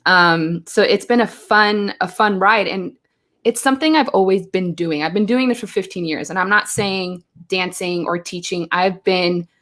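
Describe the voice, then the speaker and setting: A chatty woman from Belgium, speaking at a medium pitch. She tells stories in a lively way and slips into quoted asides as a guest on a live show. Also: normal speed. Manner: chatty, animated storytelling with acted-out quotes. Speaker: guest, choreographer. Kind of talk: casual interview chat. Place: home, live stream.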